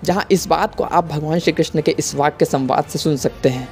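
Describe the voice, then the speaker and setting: High, mellow Indian man explaining. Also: a little fast. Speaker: YouTube explainer host. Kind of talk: religious explainer voice-over. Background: music.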